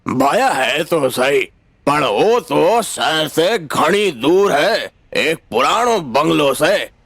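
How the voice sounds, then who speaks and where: A man voicing a rustic local guide with a Rajasthani accent in an animated story. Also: on the high side, drawn-out words, vocal fry, slightly rough, no echo, clean studio sound, exaggerated voice. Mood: helpful, unhurried. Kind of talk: animated story character dialogue.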